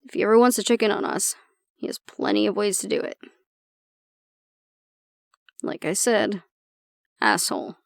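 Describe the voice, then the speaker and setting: A woman playing an anxious young woman with a tough front and a soft core, confiding casually and with swearing in an intimate audio roleplay. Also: mid-range voice, very animated, normal speed, vocal fry, pauses often, no echo, clean studio sound. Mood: bitter, resentful.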